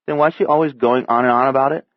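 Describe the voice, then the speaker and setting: A man's voice: medium pitch, fairly even intonation, fast, no room sound, pitched above the performer's own voice, natural voice. Storybook role: man as stubborn, worried little boy of seven, insistent and childish, voice lifted well above the reader's own, dull recording. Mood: anxious pestering. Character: small seven-year-old boy, anxious, stubborn, pestering.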